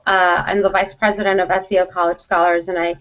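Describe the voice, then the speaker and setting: A woman's voice: fairly low pitch, flat delivery, fast talker, fairly bright tone. A woman giving an instructional presentation to students, explaining things in an emphatic, directive way. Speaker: college program vice president, presenter. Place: conference call. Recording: dull conference call audio.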